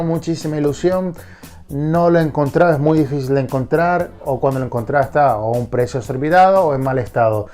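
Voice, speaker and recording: A congested man speaks with a nasal, stuffed-up voice at a middling pitch. He hosts his collector vlog with enthusiasm and often stumbles into restarts. Soft music plays faintly behind him. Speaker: collector vlogger. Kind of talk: casual vlog.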